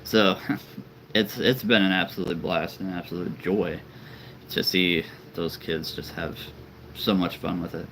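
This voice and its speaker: A man with a very deep voice, chatting casually and rambling on a live stream as a toy-collecting channel host. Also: fairly even intonation, a little slow, a bit bright, slight background noise, rough recording. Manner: chatty and rambling, laughs often.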